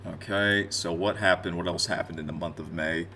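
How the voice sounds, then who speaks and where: Low-voiced young guy, casual vlog. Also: fairly even intonation, moderate pace, vocal fry, bright, forceful tone, a little hiss or hum. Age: 20s. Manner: rambling, conversational, addresses viewers directly. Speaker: gaming content creator and streamer. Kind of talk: casual unscripted year-in-review vlog talk.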